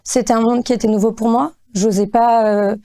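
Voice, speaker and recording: A young woman giving interview testimony, hesitant with frequent fillers and pauses. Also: a little high, steady tone, mellow.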